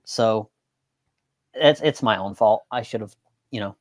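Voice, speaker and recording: A man's voice: lowish voice, a little slow, no room sound. A man talking casually as a guest on a show, matter-of-fact and rambling, often repeating himself. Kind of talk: casual interview talk.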